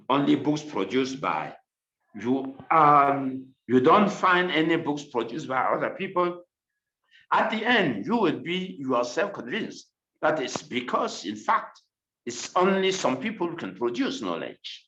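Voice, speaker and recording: Slow, halting non-native male scholar. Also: medium pitch, sharp tone. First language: not English. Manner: halting, many fillers and restarts while searching for words. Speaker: panel speaker, scholar. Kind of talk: unscripted panel discussion. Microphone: video call. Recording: roomy, slightly dull video call audio.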